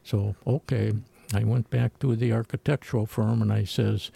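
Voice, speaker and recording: A man giving a folksy, unhurried account as a guest on a radio talk show. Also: on the low side, fairly even intonation, creaky, mellow, breathy edge. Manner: slow, folksy storytelling with chuckles. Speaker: guest, museum founder. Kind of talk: casual radio call-in conversation.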